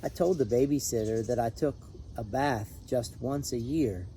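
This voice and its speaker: A man reading a children's picture book aloud in an expressive, animated, emphatic way. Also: medium pitch, moderate pace, slight background noise. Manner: animated, emphatic on repeated refrain. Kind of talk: expressive storybook read-aloud with brief asides.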